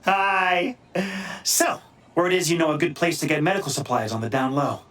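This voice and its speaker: A man's voice: fairly high pitch, lively intonation, moderate pace. A man playing a cocky, crude, wisecracking vigilante hacker in a video game, theatrical and teasing, with mock voices. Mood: playful, cocky. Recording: loud music behind the voice.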